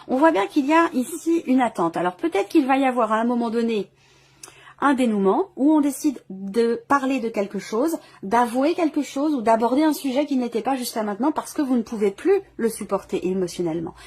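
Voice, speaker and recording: A woman with a fairly high voice hosts an intuitive tarot reading. She speaks off the cuff, searching for her words and rephrasing ideas as she interprets. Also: a little mellow. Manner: hesitant, searching phrasing with repeated rewording. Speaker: tarot reader hosting a video reading. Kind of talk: intuitive tarot reading, improvised spoken commentary.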